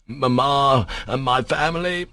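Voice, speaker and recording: A man's voice: mid-range voice, measured pace, sharp tone, dry recording, pitched above the performer's own voice. A man voicing a humble, despairing captain and anxious father in a storybook, faltering and deferential. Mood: bewildered.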